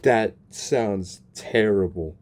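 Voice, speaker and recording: A game streamer giving casual, expressive, exclamatory reactions to a story. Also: low voice, very slow. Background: game music.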